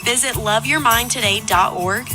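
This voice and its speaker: A woman's voice: a little high, normal speed, music in the background. A woman reading a scripted public service message in a plain-spoken, reassuring way.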